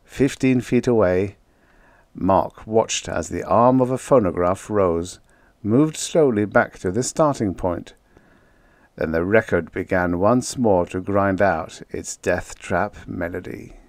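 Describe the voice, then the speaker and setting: A man reading vintage science fiction stories as a scripted audiobook, with a measured, dramatic storytelling delivery. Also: low-pitched, no echo. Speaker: audiobook narrator.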